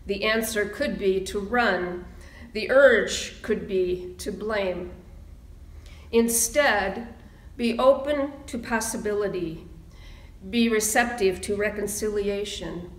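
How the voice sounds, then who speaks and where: A woman minister speaking in a measured, deliberate sermon style, pausing for emphasis as she reads and preaches. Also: medium pitch. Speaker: minister leading a church service. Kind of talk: sermon and slow, solemn readings. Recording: roomy, echoing sound.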